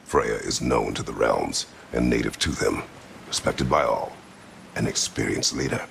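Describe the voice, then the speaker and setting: Cavernously deep, gravelly warrior god. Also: male voice, expressive, a bit bright, a little hiss or hum, exaggerated voice. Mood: solemn. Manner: terse, measured, formal. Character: stern, solemn middle-aged warrior god of war, weary. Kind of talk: video game cutscene acting.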